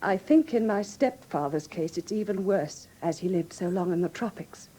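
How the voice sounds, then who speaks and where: A woman with an English received pronunciation accent playing a frightened, grieving young gentlewoman who speaks formally and earnestly in a classic radio drama. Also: lowish voice, normal speed, natural voice, low-quality recording. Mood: anxious, sorrowful. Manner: formal, earnest, precise storytelling.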